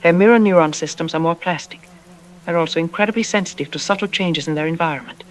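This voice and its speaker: A woman playing a self-assured psychologist on a radio drama interview, explaining in an articulate, didactic and calmly dismissive way. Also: lowish voice, quick pace, a little hiss or hum, close to an everyday voice. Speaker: expert interview guest. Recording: music playing under the voice. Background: background music.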